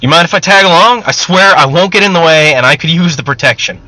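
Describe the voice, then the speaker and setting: A man's voice: on the high side, fast talker, bright, forceful tone, dry recording, pitched above the performer's own voice, rough recording. A man voicing an earnest, candid young medical student and survivor in an audiobook story, speaking a little hesitantly. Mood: eager, pleading.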